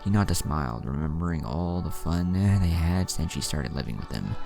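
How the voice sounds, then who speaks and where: A man's voice: deep voice, lively intonation, moderate pace, creaky. A man narrating a fan fiction story, reading it aloud with background music behind him.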